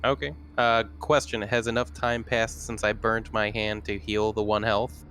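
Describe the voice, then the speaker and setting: A man's voice: lowish voice, little pitch movement, moderate pace, pushed and bright, slight background noise. A man taking part in a tabletop roleplaying game, talking casually as a player. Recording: faint music behind the voice. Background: faint music.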